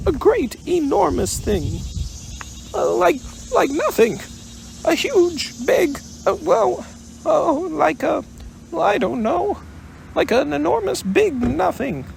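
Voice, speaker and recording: A man voicing a small, timid young piglet from a children's storybook, shrill and stammering breathlessly. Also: gravelly, darkish tone, slight background noise, heavily acted, rough recording. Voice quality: shrill, squealing.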